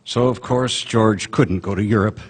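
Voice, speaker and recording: A man's voice: on the low side, normal speed, breathy edge. A man narrating in a live radio drama, explaining the story in a storytelling way. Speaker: narrator speaking as a heavenly guide. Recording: theater stage with long reverb.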